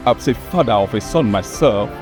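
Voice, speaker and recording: A man's voice: fairly low pitch, animated, fairly quick, over music. A man, a missionary giving a heartfelt testimonial. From Cameroon. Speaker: missionary and church planter.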